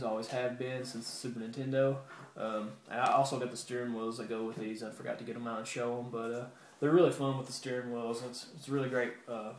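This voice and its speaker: Laid-back man vlogging in an echoey room. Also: mid-range voice. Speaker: video game collector vlogger. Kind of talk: casual show-and-tell update to viewers. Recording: roomy echo with background hiss.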